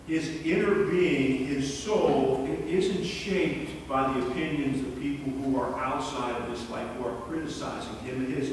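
A middle-aged man preaching a sermon from the pulpit of a church, earnest and measured, sometimes repeating his words. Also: fairly high pitch, a little hiss or hum. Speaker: pastor. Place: church sanctuary, large. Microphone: far camera in the pews. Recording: echoey sanctuary from far off.

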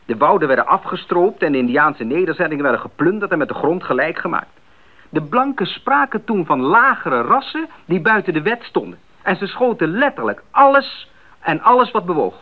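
A man's voice: fairly high pitch, big pitch swings, fairly quick, phone-quality sound. An actor playing an earnest, idealistic young missionary explaining himself to his parents in a radio drama. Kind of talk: 1980s Dutch radio drama acting. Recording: thin band-limited old radio recording.

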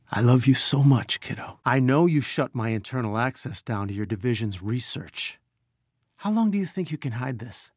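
A man's voice: medium pitch, dry recording. A middle-aged scientist who was once a superhero. He speaks in earnest, articulate sentences with serious purpose, as in a dramatic video game. The sound is close and dry. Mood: weary, tender, then indignant. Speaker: video game character actor.

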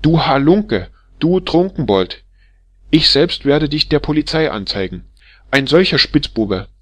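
A man voicing a shrill, furious middle-aged barber's wife who scolds and hurls insults at her husband in a storybook reading. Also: animated, unhurried, no echo. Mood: furious scolding.

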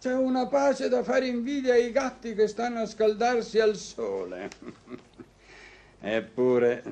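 A teasing middle-aged male doctor in an old radio play. His creaky voice swoops up and down as he banters with florid, wry humour. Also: very high-pitched, expressive, slow, warm tone, full character voice. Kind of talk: vintage Italian radio drama acting.

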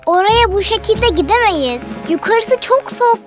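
Sweet adventurous cartoon baby girl, piping high voice, childlike and earnest, music bed. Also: animated, moderate pace. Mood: concerned. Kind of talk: dubbed children's cartoon acting. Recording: loud music under the voice.

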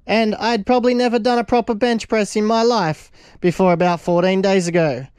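A man's voice: high-pitched, steady tone, a little fast, darkish tone, clean tone, dry recording, studio quality. A man, casually narrating his gym workout in a self-deprecating, upbeat way on an action camera. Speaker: vlogger. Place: gym, indoors, and outdoors by a car. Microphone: handheld action camera. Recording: music playing behind. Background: background music.

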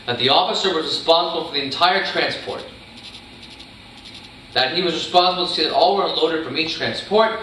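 A man's voice: a little high, sharp tone. A young man playing a matter-of-fact, evasive Nazi camp commandant under interrogation in a staged reading. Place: meeting room, staged reading at a table. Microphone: far camera microphone. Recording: very echoey room, far microphone, hiss.

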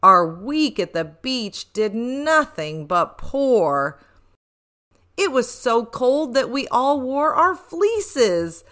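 Storybook reader: woman, bright highish voice, expressive and rhythmic, for a rhyming children's tale. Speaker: picture book reader.

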